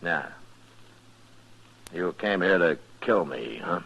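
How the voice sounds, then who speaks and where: An American actor playing a stern, steady frontier lawman in an old radio Western, husky and terse with a dry, unflinching delivery. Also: low-pitched, takes it slowly, highs cut off, exaggerated voice. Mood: stern, contemptuous. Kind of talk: 1950s Western radio drama acting.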